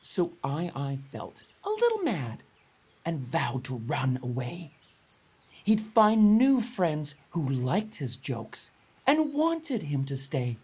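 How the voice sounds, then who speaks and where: Animated, husky male storybook reader. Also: on the high side, slow. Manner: theatrical, expressive. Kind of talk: dramatic children's read-aloud in rhyme.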